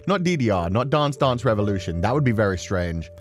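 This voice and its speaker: A man's voice: lowish voice, big pitch swings, brisk, faint music behind. A man chatting casually and expressively as a livestream host before playing a game. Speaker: livestream host, gaming streamer. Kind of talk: casual rambling stream chat before a game.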